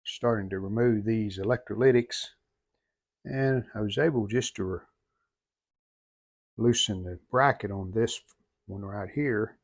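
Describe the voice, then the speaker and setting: A man's voice: on the low side, measured pace, pauses often. A middle-aged man giving unscripted how-to commentary at a workbench in a plain, folksy, matter-of-fact way. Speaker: hobbyist repair vlogger. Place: indoor home workshop at a workbench.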